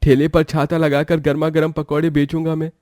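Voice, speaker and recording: Earnest, higher-pitched young village man voiced by a man. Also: little pitch movement, a little fast, darkish tone, no room sound, clean studio sound, natural voice. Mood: earnest, worried. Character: poor, earnest, hardworking young village pakora seller. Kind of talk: Hindi cartoon moral story acting.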